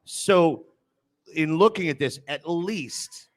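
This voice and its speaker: A man's voice: on the high side, slow. A man on a sports talk show discussing football in an emphatic, argumentative way.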